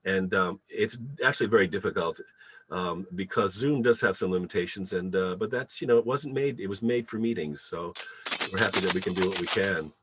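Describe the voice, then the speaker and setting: A man casually hosting a post-show discussion on a video call, halting a little as he searches for words. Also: low-pitched, noisy background. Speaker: theatre company host of an online event. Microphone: video call microphone.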